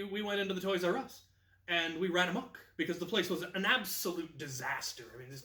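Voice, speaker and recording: Bright-voiced American man, echoey and distant. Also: on the high side. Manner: animated, acts out quoted lines. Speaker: video host and storyteller. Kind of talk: casual anecdotal storytelling to camera. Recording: echoey room, distant microphone.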